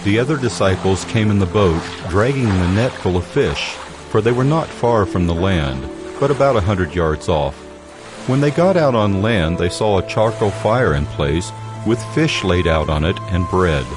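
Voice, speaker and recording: A male Bible narrator with a low voice reads scripture steadily and solemnly. Music plays clearly under the narration. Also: expressive, normal speed.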